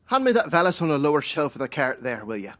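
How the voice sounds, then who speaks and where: A man's voice: on the high side, sing-song, quick pace, fairly bright tone. An actor playing a shady, evasive, grudging male swindler in a radio drama.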